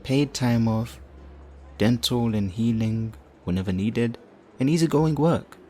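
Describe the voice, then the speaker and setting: A man playing a flirtatious, theatrical supervillain leader in an audio roleplay, smug and righteous, with teasing, drawn-out phrasing. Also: mid-range voice, darkish tone, slight background noise, lightly acted. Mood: playful, persuasive. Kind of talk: audio roleplay addressed to the listener.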